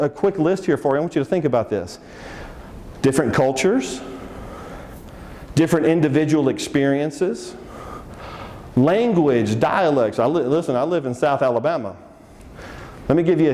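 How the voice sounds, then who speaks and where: A man's voice: on the high side, mellow, in a large hall. Upbeat Texan man leading a seminar. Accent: Texan Southern. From Texas. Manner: upbeat, coaxing the audience, joking. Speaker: seminar speaker. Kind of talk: interactive business seminar talk.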